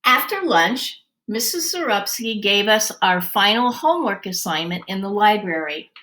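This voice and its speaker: Animated, bright-voiced woman reading a picture book. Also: medium pitch. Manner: animated, deliberate, dramatic emphasis. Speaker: read-aloud storyteller. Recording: roomy, echoey sound.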